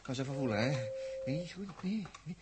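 An actor playing a gruff, bossy, suspicious spaceship crewman in a 1960s Dutch radio drama. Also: fairly high pitch, lively intonation, a little fast, close to an everyday voice. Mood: accusing. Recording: noisy 1960s radio recording.

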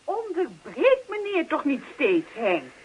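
A woman's voice: sits high, huge pitch swings, slow, heavily acted, low-quality recording. A woman playing a sweetly insistent wife in a radio play, coaxing and mildly scolding. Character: sweetly insistent wife prodding her husband.

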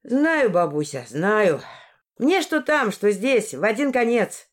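A woman narrator voices a rustic storybook youth who is carefree yet resigned. The words are stretched out in a folksy drawl. The pitch moves freely, with a weary, fatalistic undertone. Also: very animated, unhurried. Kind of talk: audiobook character voice.

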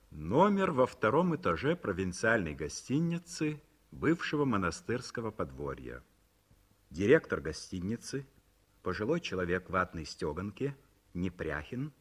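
Brief: male narrator, low and expressive, measured formal reading of stage directions, music underneath. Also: husky. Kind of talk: scripted narration.

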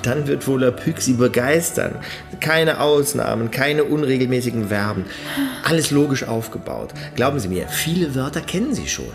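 An actor playing an eager, pedantic language tutor in a radio drama, with an insistent, didactic manner. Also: male voice, medium pitch, animated, moderate pace, vocal fry, music in the background.

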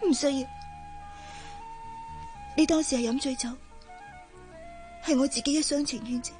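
An actress playing a gentle, dutiful young working woman in a radio drama, speaking with restraint and a Hong Kong Cantonese accent. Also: sits high, steady tone, moderate pace, faint music behind, close to an everyday voice. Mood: hurt, resigned. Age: young adult.

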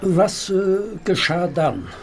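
An actor playing a brusque, commanding Victorian police inspector in a radio play, curt and authoritative. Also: male voice, sits high, very animated, takes it slowly, slight background noise, exaggerated voice. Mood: businesslike, grim. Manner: curt, authoritative, hesitates between questions. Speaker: actor in an audio drama. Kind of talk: German radio play acting.

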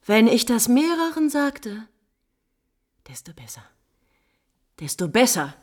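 A woman's voice: on the high side, big pitch swings, slow pace, darkish tone, heavily acted. A woman playing a proud, scorned countess in 1950s German radio drama, bitterly mocking and agitated, moving between whispers and outcries. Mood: scornful triumph. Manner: theatrical, swinging from whispers to outcries.

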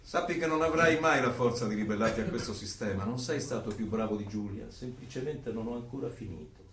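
An actor playing a cold, sadistic torturer of a totalitarian regime, delivering deliberate, pompous, menacing theatrical speeches. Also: male voice, mid-range voice, fairly bright tone, close to an everyday voice. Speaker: stage actor. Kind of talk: theatrical dystopian monologue. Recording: roomy, distant, noisy stage recording.

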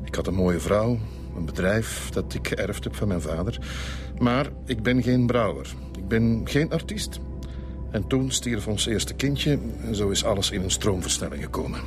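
An actor playing a middle-aged Flemish brewery owner in a radio drama, expansive, frank and confiding. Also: male voice, fairly low pitch, expressive, normal speed, music in the background, lightly acted. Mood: wistful, bitter. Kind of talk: Dutch-language radio drama acting.

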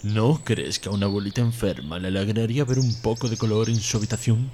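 Man playing a sly, low-voiced storybook wolf. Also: quick pace, background noise, natural voice. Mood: sly, flattering. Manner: falsely courteous, flattering, insinuating. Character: sly predatory male wolf, smooth-talking and menacing. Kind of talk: audio fairy-tale drama acting.

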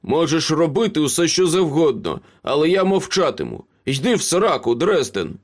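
A man's voice: on the high side, sing-song, normal speed, voice pushed up. A man voicing a wounded, cowardly young male traitor in a storybook, pleading and protesting breathlessly. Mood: defiant. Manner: frantic, gasping pleas and protests.

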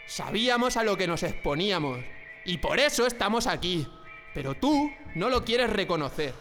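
A man's voice: sits high, very animated, normal speed, fairly bright tone. A man from playing an anxious, defiant dissident in a radio drama, earnest and questioning. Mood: defiant, accusing. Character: defiant, anxious male dissident who hates the regime. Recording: long hall reverb, faint music behind.

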